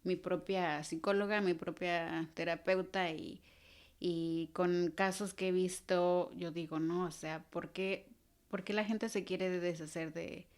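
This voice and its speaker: A woman's voice: fairly low pitch, fairly even intonation, takes it slowly. A Mexican woman in her thirties, a podcast guest talking in an earnest, easygoing way that often trails off and restarts. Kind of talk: casual interview chat.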